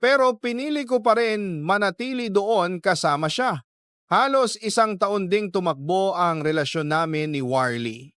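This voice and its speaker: A man's voice: high-pitched, a little slow, a bit bright, smooth, no room sound, studio quality. A man narrating a personal love story as a radio host, in a dramatic first-person letter-reading style.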